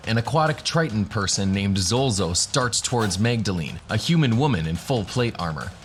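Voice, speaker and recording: A man's voice: on the low side, fairly bright tone, slight background noise. A man narrating a scripted fantasy adventure as the game master of a radio drama.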